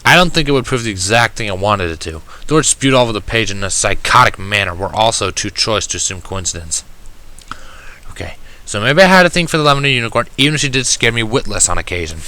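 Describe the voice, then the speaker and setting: A man narrating a first-person story in an audiobook style, reading in a measured, wry manner. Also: lowish voice, animated, pushed and bright. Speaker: fan fiction reader.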